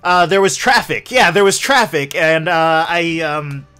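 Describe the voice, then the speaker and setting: Man as male vampire, tabletop roleplay, brash, flustered, excitable, stammering, apologetic, faint music. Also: fairly high pitch, a little slow, smooth, voice pushed up. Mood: loud, flustered.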